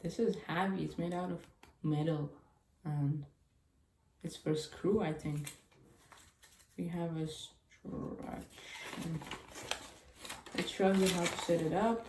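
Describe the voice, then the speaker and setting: A woman whose first language is Chinese, chatting casually and haltingly through a cube unboxing with small grammar slips. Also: on the low side, fairly even intonation, a little mellow. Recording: echoey room with clicking noise.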